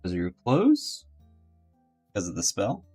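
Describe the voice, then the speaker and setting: A man's voice: deep voice, wildly swooping pitch, moderate pace, a little mellow, slightly rough, soft background music. A man doing casual live-stream commentary on a game, teasing and reacting in short bursts.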